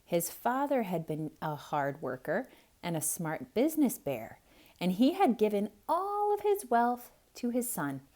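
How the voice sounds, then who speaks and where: Slow, expressive woman reading to kids. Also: medium pitch. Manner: expressive, asking the listener questions. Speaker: children's storyteller. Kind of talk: theatrical read-aloud for kids.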